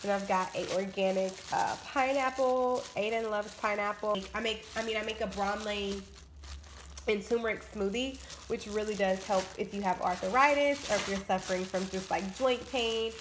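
A woman's voice: mid-range voice, moderate pace, noisy background. A young woman vlogging her grocery haul, chatty and upbeat, with lots of fillers. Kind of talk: casual grocery haul chat. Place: indoors at home.

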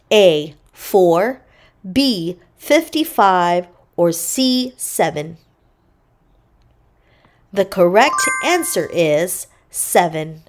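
A woman hosting a children's read-aloud quiz, speaking in deliberate, separated phrases. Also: mid-range voice.

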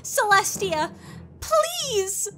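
A woman voicing a terrified, pleading young pony princess in a story reading, stammering and squeaky. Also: very animated, very slow, fairly bright tone, exaggerated voice. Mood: panicked pleading. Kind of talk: dramatised fan fiction reading. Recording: music behind the voice.

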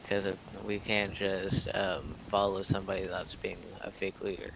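A man's voice: sits low, little pitch movement, normal speed, gravelly. A man speaking casually in roleplay over online game voice chat. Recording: game voice chat, noisy background.

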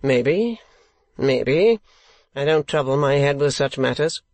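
A sly old male moneylender from a classic storybook, acted by a narrator. He speaks slowly and dryly at a middling pitch, with sneering, false deference. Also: lively intonation, a little mellow, dry recording. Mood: coolly evasive. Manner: measured, dry, falsely deferential.

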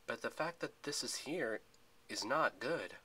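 A man voicing a fierce, know-it-all teenage girl demigod in a storybook, explaining things forcefully. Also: mid-range voice, lively intonation, measured pace, breathy edge, exaggerated voice.

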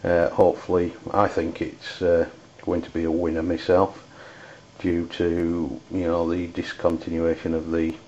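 English man, low voice, flat intonation, hesitant product reviewer, dull lo-fi sound, some background noise. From United Kingdom. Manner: hesitant, halting delivery. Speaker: amateur radio reviewer.

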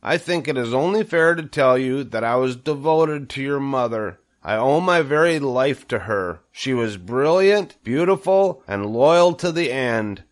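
A man's voice: medium pitch, expressive, a little slow, few pauses, voice pushed up, natural voice. A man voicing a sentimental, tender-hearted young pig in a storybook, speaking with great earnestness. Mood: solemn, heartfelt.

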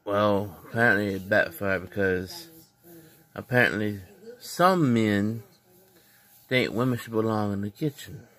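A man's voice: fairly low pitch, a little slow, fairly bright tone. A man vlogging casually on a phone in a dim living room, earnest and preachy, repeating his points. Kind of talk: casual opinion vlog.

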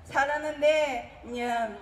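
Chatty woman, high voice, echoing hall. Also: fairly even intonation, slow pace. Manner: chatty, emotional, frequent sighs of 아이고. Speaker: contest participant. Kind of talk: personal story told on stage. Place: event hall. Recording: roomy hall, distant mic, faint noise.

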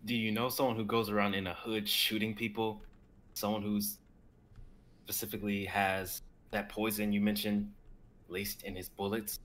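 A man's voice: on the low side, flat delivery, normal speed, a bit bright, close to an everyday voice. A man in his twenties playing a wounded, wary traveler with a dry, questioning manner in a script reading. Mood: pained, questioning. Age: 20s. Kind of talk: amateur dramatic script reading.

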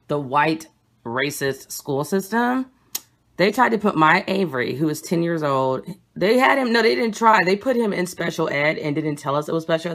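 A young woman with a low voice speaks into a phone held at arm's length in a small room at home. She talks bluntly and with emphasis, hurrying through some lines and slowing down to press her points. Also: fairly bright tone. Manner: emphatic, blunt and quick-talking. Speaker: vlogger. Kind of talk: casual home vlog showing books. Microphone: phone camera held at arm's length.

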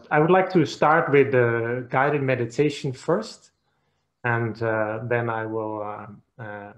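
A man, a Buddhist monk giving an online Dharma talk over a video call, gentle and hesitant, with many fillers. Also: medium pitch. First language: Dutch. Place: quiet room at home. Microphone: webcam on video call.

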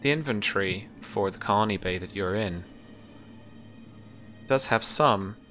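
Man voicing a low, formal spaceship computer. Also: soft background music. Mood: informative, formal. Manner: formal, even, deliberate. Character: polite, measured spaceship computer, calm and formal.

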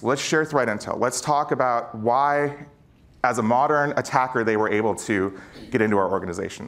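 A man's voice: mid-range voice, fairly bright tone. A middle-aged man giving an informal conference talk on stage, slangy and conversational, often checking in with listeners. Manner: conversational, slangy, peppered with rhetorical check-ins. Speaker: keynote speaker, former security chief.

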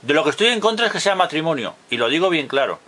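Bright-voiced man in his fifties, insistent. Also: fairly high pitch, sing-song, quick pace. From Barcelona, Spain. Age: 50s. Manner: emphatic, insistent, repeats his points. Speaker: video blogger. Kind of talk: opinionated monologue to camera. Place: indoors in front of a blue wall.

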